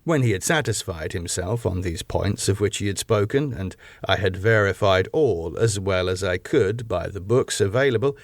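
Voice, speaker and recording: A man reads a gothic novel as a scripted audiobook. His voice sits fairly low, and his delivery is measured and formal. The recording is close and very dry, without room sound. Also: lively intonation, no echo. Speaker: audiobook narrator.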